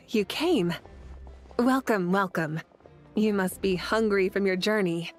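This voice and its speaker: A woman voicing a welcoming, composed and calmly wise female leader in a video game, with a reassuring manner. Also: mid-range voice, slow, soft background music, lightly acted. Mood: warm, composed. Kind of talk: video game character acting.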